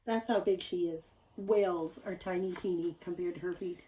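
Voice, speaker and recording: Female storybook reader for young children, teacherly and expressive, speaking directly to her listeners. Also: on the low side, moderate pace, a little hiss or hum, poor audio. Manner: animated, addresses young listeners with asides. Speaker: teacher reading aloud to children. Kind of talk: expressive picture-book read-aloud.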